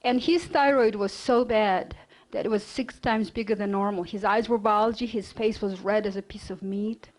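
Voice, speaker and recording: A middle-aged woman from Russia with a Russian accent, giving an earnest personal lecture with quick bursts, fillers and restarts. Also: mid-range voice, steady tone, low-quality recording. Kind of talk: storytelling lecture.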